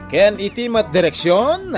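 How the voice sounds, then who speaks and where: A man's voice: sits high, very animated, unhurried, faint music behind. A man with a Filipino accent announcing a radio drama programme in a scripted, dramatic style.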